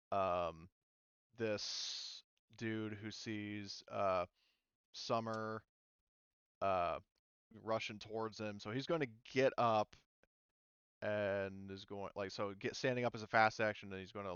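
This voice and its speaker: A man's voice: on the low side, steady tone, slow, pauses often, a bit bright, clear, resonant tone, no room sound, dull audio. A man running a tabletop game as game master, casually narrating turns and rules, hesitantly thinking aloud as he goes.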